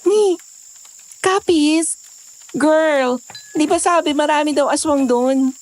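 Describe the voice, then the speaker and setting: A woman's voice: sits high, slow pace, a little mellow. A woman with a Filipino accent playing a wary, superstitious young hiker in a conversational audio drama. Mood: wary, chatty.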